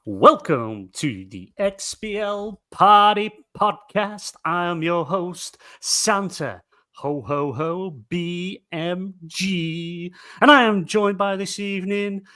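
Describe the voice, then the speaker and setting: A man's voice: high-pitched, a bit bright, clean tone. A man hosting a gaming podcast, introducing guests with jokey, theatrical lines. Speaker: podcast host. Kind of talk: casual podcast chat.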